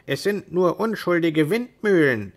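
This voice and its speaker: A man's voice: fairly high pitch, animated, a little slow, pitched above the performer's own voice. A man voicing a loyal, down-to-earth squire with an earthy manner in a storybook tale. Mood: exasperated, alarmed. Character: down-to-earth, plain-spoken squire, loyal but exasperated.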